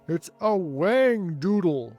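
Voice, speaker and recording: Man doing an excitable high-voiced fire chief. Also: unhurried, faint music behind. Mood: panicked. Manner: exclamatory, dramatic, fretful. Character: excitable, alarmist male fire department chief. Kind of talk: storybook character acting.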